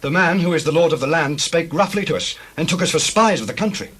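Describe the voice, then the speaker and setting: An actor playing an anxious, guilt-troubled brother in a scripture drama, declaiming earnestly in a raspy voice. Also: male voice, a little high, expressive, quick pace, fairly bright tone. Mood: defensive. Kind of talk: dramatized scripture reading in archaic English.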